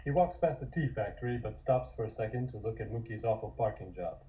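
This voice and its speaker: A man's voice: on the low side, animated, quick pace, mellow, rough recording. A man reading scripted scene narration for a comic table read.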